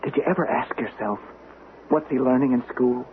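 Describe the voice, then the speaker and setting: A man's voice: mid-range voice, lightly acted. Man acting desperate young man, tinny old radio. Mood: bitter, anguished. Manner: halting, pleading, stammering. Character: defensive young man accused of murder, desperate and bitter. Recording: noisy, narrow-band vintage radio recording.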